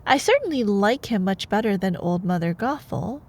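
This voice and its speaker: A woman's voice: mid-range voice, animated, moderate pace. A woman voicing a sheltered, earnest young maiden from a storybook.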